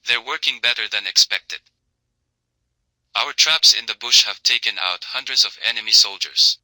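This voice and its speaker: A man's voice: lowish voice, normal speed, sharp tone, no echo, low-quality recording. A man playing a dutiful military aide giving serious, deferential briefings in a scripted audio drama. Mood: grave, hesitant. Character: dutiful, sober male military aide reporting.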